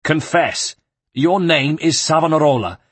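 A man voicing a brutal guard captain who taunts and threatens loudly. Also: fairly high pitch, lively intonation, unhurried, breathy edge, no room sound, pitched above the performer's own voice. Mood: menacing. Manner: loud and taunting. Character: brutal male guard captain, sneering bully.

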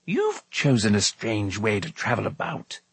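Man acting a talkative storybook bard, wry, airy, wildly swooping intonation, slightly husky, dry recording. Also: on the low side, wildly swooping pitch, unhurried, fairly bright tone, no room sound, heavily acted. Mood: wry, teasing. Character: whimsical, talkative male bard. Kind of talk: audiobook character voice.

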